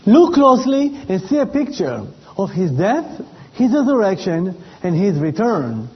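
An Israeli man with a high voice speaks slowly and deliberately, pausing often, as a missionary addressing a congregation. His accent is clear. The recording sounds dull and lo-fi. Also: big pitch swings. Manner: slow, deliberate, with pauses and fillers. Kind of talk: church presentation.

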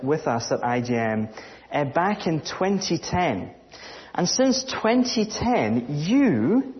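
Earnest Scottish man preaching, slow. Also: fairly high pitch, dull audio. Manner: halting with fillers, earnest and grateful. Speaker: guest speaker. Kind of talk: sermon.

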